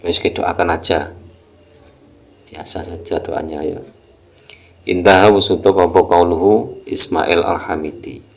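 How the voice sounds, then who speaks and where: A man with a Javanese accent teaching an Islamic text, reading it out and explaining it in a halting, repetitive way. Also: low-pitched, steady tone, vocal fry. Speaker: religious teacher.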